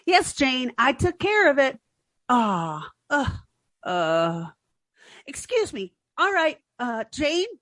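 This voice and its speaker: Woman playing flustered, high-voiced naive young roommate. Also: sing-song, slow pace, fairly bright tone, dry recording, studio quality, exaggerated voice. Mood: nervous. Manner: hesitant, flustered, stammering. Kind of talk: 1940s radio comedy acting.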